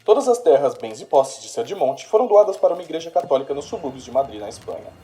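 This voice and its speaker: Male actor as 1930s radio newsreader, Brazilian accent, formal and declamatory, mellow tone, swift delivery. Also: a little high, sing-song, quick pace. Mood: formal, reporting. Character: male radio news announcer reporting a boxer's retirement. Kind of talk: 1930s radio news bulletin, scripted.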